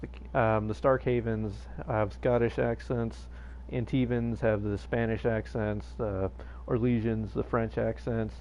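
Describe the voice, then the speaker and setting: A man with a lowish voice chats casually on a livestream, drifting between thoughts with plenty of ums and restarts. He talks straight into his own plugged-in microphone at a home setup, with a faint hiss underneath. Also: little pitch movement, unhurried, a little mellow. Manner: rambling and hesitant, many fillers and restarts. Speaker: game streamer. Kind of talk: casual live-stream chat. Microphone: own plugged-in streaming microphone.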